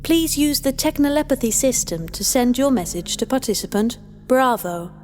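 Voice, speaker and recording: A woman voicing a businesslike female scientist in an audio story, formal, measured and procedural, over music. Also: high-pitched, lively intonation, a little mellow, pitched above the performer's own voice. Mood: formal, composed.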